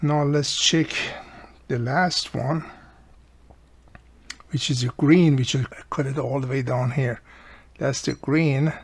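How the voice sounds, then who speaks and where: A man casually hosting a hands-on repair tutorial, explaining step by step and repeating key points, with a gravelly, husky voice. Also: mid-range voice, fairly even intonation, slow, long pauses. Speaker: radio repair video host.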